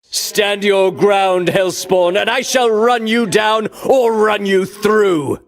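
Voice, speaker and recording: A man's voice: high voice, slow. An actor playing a pompous, vainglorious Greek champion in a video game, disdainful of his foes, speaking in grandiloquent, archaic phrases with theatrical delivery. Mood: haughty, taunting.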